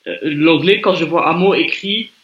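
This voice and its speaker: A man's voice: a little high, moderate pace, slight background noise. Give me a talkative man from France on a muffled video call. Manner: relaxed, chatty, with some restarts. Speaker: conversation partner. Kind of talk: casual conversation.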